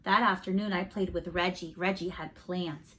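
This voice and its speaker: A woman reading a children's story aloud expressively, pausing to put questions directly to young listeners. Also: on the low side, fairly even intonation, sharp tone, slight background noise, poor audio.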